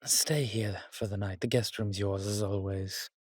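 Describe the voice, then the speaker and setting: A man's voice: lowish voice, steady tone, a little slow, no room sound, clean studio sound. A man playing a suave, weary vampire with courtly, fond phrasing in an intimate roleplay audio drama. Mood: weary, tender. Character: weary, suave male vampire, protective and darkly charming.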